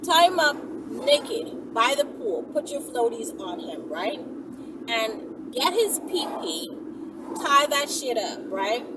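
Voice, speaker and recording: Woman, very lively intonation, chatty vlog storytelling, playful slangy delivery, distant mic, in a noisy moving vehicle. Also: a little high, takes it slowly. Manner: animated, playful, slangy, giggly. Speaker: vlogger telling stories to viewers. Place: moving vehicle while driving. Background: loud road and vehicle noise.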